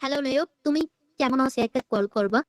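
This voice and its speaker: Man as a high-pitched young woman through a voice changer. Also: a little fast, no room sound, studio quality. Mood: friendly, casual. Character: young woman sending friendly voice messages. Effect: AI female voice changer. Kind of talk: short casual voice message. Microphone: phone voice message replayed in screen recording.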